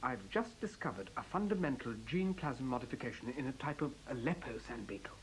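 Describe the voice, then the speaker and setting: A self-assured middle-aged scientist, acted by a man in a futuristic radio play. He is wry and matter-of-fact, yet his pitch moves around a lot. A faint hiss lies under the recording. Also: expressive, brisk, natural voice, rough recording. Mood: wry, confident.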